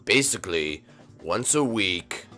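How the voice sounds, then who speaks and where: A man voicing a gruff, lazy male turtle brute who grumbles and threatens. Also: deep voice, animated, unhurried, sharp tone, faint music behind, pitched below the performer's own voice, full character voice.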